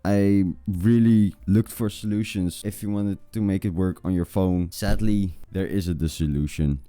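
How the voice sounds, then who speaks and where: Low, mellow young man. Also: fairly even intonation, clear, resonant tone, dry recording, soft background music. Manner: informal, halting, repeats words. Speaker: tutorial video host. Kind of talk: casual screen-recording tutorial.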